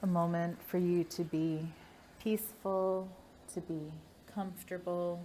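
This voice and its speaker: A woman leading a guided meditation in a gentle, soothing manner, leaving long pauses between phrases. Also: lowish voice, little pitch movement, a little hiss or hum.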